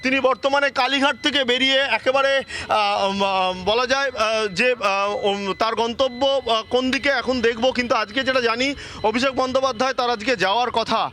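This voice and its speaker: A man's voice: very high voice, fairly even intonation, sharp tone. A man giving a live, unscripted news report with many hesitations. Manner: halting, frequent hesitations.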